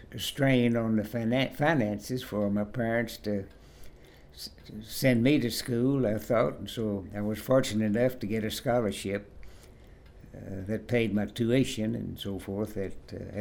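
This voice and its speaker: A man, reminiscing in an oral history interview in a halting way, often repeating and restarting words. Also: fairly low pitch, gravelly, darkish tone. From Kentucky, USA. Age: 80s. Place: at his home.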